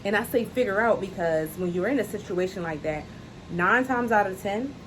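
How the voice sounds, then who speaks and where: A woman's voice: medium pitch, animated, normal speed, a bit bright, a little hiss or hum, rough recording. A woman speaking as a self-love coach, giving an earnest, encouraging talk to camera and repeating her key phrases. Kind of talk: heartfelt motivational talk to camera. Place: outdoors on a hot day.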